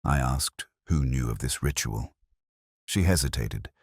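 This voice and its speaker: A man reading scripted first-person narration of a detective mystery in a measured, brooding, literary manner. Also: extremely low pitch, expressive, husky, no room sound, studio quality. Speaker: audio drama narrator.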